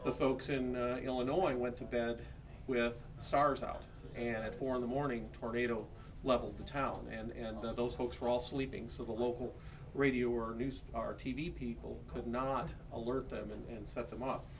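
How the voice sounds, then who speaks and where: A man's voice: medium pitch, flat delivery, normal speed, a crowd in the background. A man with an American accent explains products informally and plainly, like a shop salesman. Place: electronics store. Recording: distant mic, faint background noise.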